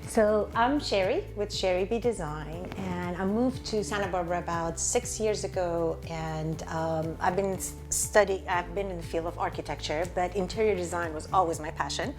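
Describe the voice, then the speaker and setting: A woman's voice: on the low side, moderate pace, few pauses, over music. A woman from Iran, an interior designer, chatting casually as an interview guest.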